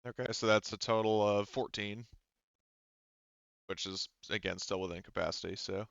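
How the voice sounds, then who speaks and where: A man with a clear, fairly low voice acts as game master. He narrates casually and haltingly, rambling through explanations. The recording is close and dry but a little muffled. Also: steady tone, fairly bright tone, no echo.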